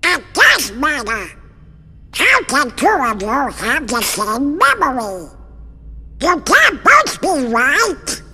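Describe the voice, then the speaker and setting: Squawking cartoon duck, harsh quacky rasp, high and bright, video game cutscene with music. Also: male voice, huge pitch swings, takes it slowly, big-room sound, heavily acted. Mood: insistent. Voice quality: quacky, raspy.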